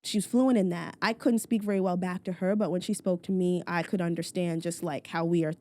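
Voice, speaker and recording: A woman's voice: lowish voice, fairly even intonation, brisk, a little mellow. A young woman from Nova Scotia, Canada, chatting as a podcast guest, often saying "like" and laughing as she talks. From Halifax, Nova Scotia, Canada. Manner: frequent "like" fillers, laughs while talking. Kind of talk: casual conversational interview.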